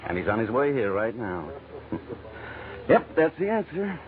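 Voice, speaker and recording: A man playing a smooth-talking, pushy admirer at a bar in a 1940s radio drama, casual and insinuating. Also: mid-range voice, very animated, unhurried. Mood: flirtatious, probing. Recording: noisy, tinny old radio recording.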